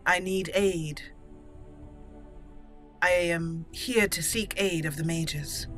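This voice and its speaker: A woman voicing an earnest elf mage commander in improvised game roleplay, with a formal, deliberate, halting manner. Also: lowish voice, fairly even intonation, fairly bright tone, soft background music. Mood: solemn, urgent.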